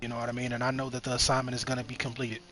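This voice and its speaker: A man's voice: mid-range voice, monotone, rapid-fire, crowd noise. A man in his twenties talking reflectively about spiritual growth while playing a game, rambling and repeating phrases with frequent "you know what I mean". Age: 20s. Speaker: streamer. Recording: noticeable background noise.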